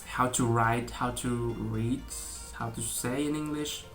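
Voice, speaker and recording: Young man, Indonesian accent, bright tone, hesitant casual vlog talk, soft music behind. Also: mid-range voice, steady tone, unhurried, gravelly. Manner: hesitant, many fillers and restarts, laughs often. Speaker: vlogger.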